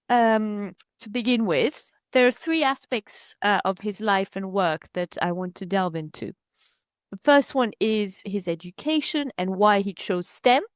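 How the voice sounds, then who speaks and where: A woman hosting an interview, introducing guests in a measured, formal way with some filler ums. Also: mid-range voice, dry recording, clean studio sound. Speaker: host.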